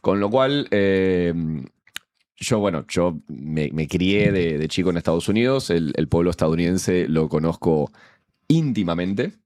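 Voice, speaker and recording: Young argentine guy, low voice, lots of ehs and restarts, chatty columnist vibe. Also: lively intonation, darkish tone. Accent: Argentine Spanish. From born in Guatemala, raised in the US and Argentina. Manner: halting, many fillers and restarts. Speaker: show columnist. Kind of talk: casual explanatory talk.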